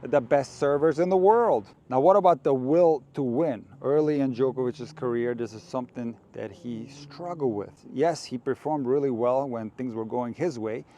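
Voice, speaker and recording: A man from Croatia who coaches tennis, talking to camera in an earnest, direct and measured way, outdoors. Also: medium pitch, warm tone, muffled recording, slight background noise.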